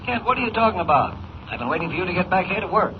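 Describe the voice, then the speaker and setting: Sardonic gruff newspaper boss, kinda high, on the phone, dull noisy old radio sound. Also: male voice, expressive, very fast. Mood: impatient, wry. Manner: brusque, sardonic. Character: gruff, breezy male newspaper editor boss. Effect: heard down a telephone line. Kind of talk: 1940s radio drama acting.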